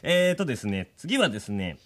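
A man's voice: mid-range voice, very animated, a little fast, a bit bright. A man hosting a casual radio show, reading listener mail and bantering with co-hosts in a blunt, teasing way.

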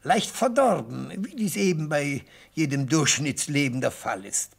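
An actor playing an elderly, theatrical defence lawyer in a radio play, speaking in rhetorical, declamatory phrases with savoured pauses and a husky voice. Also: male voice, a little high, lively intonation, exaggerated voice. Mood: grandiloquent. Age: older. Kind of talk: radio play courtroom oratory. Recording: vintage radio play recording.

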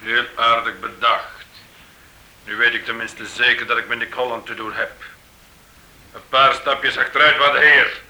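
An actor playing a mocking, coolly menacing armed villain in a radio play, with sarcastic, taunting delivery. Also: male voice, mid-range voice, flat delivery, normal speed, long pauses, sharp tone. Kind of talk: Dutch radio play acting. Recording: roomy, echoing radio drama recording.

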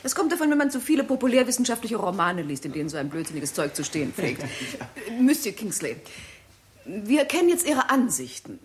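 A woman's voice: medium pitch, very animated, fairly quick, natural voice. An actress playing a skeptical, scornful female scientist who challenges others in a 1960s German radio play. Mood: mocking. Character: mocking, skeptical female scientist demanding proof.

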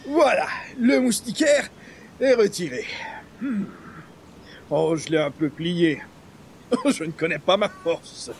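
Man acting pompous hapless columnist, high swooping voice, florid self-talk, radio comedy, slight background noise. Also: lively intonation, slow pace, pauses often, exaggerated voice. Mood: determined, self-satisfied. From Quebec. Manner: florid, formal wording, muttering to himself. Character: pompous, hapless male newspaper columnist, stuck and flustered.

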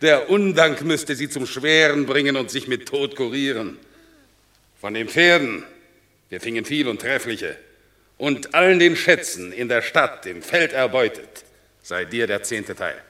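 An actor playing a solemn, generous Roman general in a classical verse radio drama, declaiming ceremoniously. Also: male voice, mid-range voice, animated, measured pace, sharp tone. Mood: insistent, earnest.

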